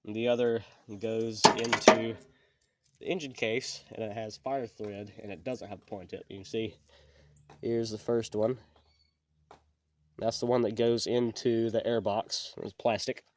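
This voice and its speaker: Sniffly DIY host, folksy and plainspoken, recorded on a camera mic with audible noise behind. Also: male voice, on the low side, steady tone, unhurried. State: sniffly from allergies. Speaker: channel host. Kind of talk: casual how-to repair walkthrough.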